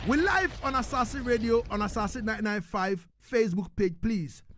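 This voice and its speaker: A man's voice: high voice, moderate pace, a bit bright, slight background noise. A man hosting a live radio interview as presenter.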